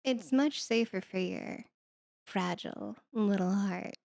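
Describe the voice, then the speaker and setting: A woman's voice: medium pitch, takes it slowly, creaky, a little mellow, dry recording, clean studio sound. A woman voicing a sardonic, teasing female demon with a condescending, flirty manner in an ASMR roleplay.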